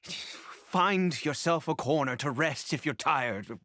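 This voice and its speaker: A man's voice: a little high, animated, takes it slowly, pushed and bright, no room sound, clean studio sound, full character voice. A man voicing a guarded, haughty, secretive man in a video game, with an imperious, curt and theatrical delivery. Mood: protective. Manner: imperious, curt, dramatic, clipped.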